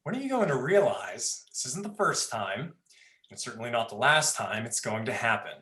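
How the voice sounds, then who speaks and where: A man's voice: mid-range voice, very animated, moderate pace, pushed and bright. Man acting echoey, scornful domineering brother. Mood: contemptuous. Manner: taunting, emphatic. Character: scornful, domineering brother, unashamed killer in horror play. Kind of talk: dramatized horror play reading.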